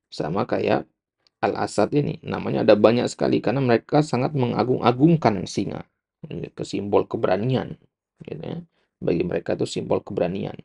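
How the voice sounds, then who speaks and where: Low, very creaky Indonesian male teacher. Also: darkish tone, highs cut off. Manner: didactic, repetitive, frequent short check words. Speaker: Islamic studies teacher. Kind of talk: explanatory religious lecture, reading and glossing an Arabic text.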